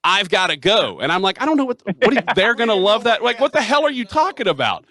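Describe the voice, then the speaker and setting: Bright-voiced middle-aged man hosting sports talk. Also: high voice, big pitch swings, fast, no echo, studio quality. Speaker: sports talk radio host. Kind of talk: casual interview chat. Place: small cluttered radio studio indoors. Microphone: headset or studio mic, close up.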